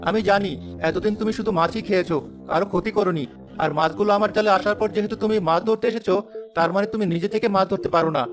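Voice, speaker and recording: Man acting a kindly village fisherman, high male pitch, quick pace, reasoning, animated folk tale. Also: soft background music, close to an everyday voice. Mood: persuading.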